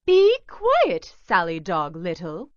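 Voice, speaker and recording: A woman voicing a prim, proper mother who scolds in a formal, shrill way. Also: wildly swooping pitch, slow, dry recording, heavily acted. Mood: scolding. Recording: slightly dull dry recording.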